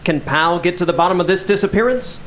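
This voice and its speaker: An actor playing a suave, theatrical 1940s radio announcer with a showy, dramatic delivery. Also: male voice, high voice, expressive, fast, fairly bright tone, slight background noise. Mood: dramatic, intriguing. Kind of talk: 1940s radio acting, scripted narration.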